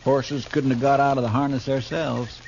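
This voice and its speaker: A man's voice: medium pitch, flat delivery, normal speed, creaky, close to an everyday voice. A man playing a simple, good-natured frontier deputy in a 1950s radio drama. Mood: easygoing. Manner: plain, folksy, easygoing. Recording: dull lo-fi old radio recording with hiss.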